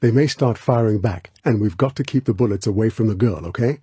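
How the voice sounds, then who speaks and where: A man voicing a tough, protective action hero in an audiobook, with a clipped, low-key, commanding manner. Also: a little fast, mellow, slightly rough, no echo. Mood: brisk, resolute.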